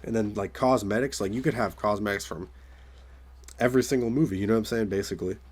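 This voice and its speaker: A young man talking about games to his viewers, his voice a little raspy as he gets over being sick. He rambles loosely, with lots of "like" and restarts, in a somewhat low register. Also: fast. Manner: rambling, many fillers and restarts. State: raspy voice from getting over illness. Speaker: gaming video creator. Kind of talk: casual solo commentary.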